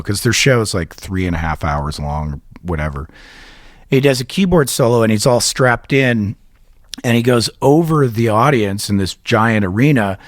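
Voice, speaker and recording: A man's voice: on the low side, no echo, studio quality. A middle-aged man from Canada co-hosting a podcast, rambling through a story with swearing and laughter. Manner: rambling, profane, laughs through his stories. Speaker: podcast co-host. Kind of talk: casual chat and storytelling.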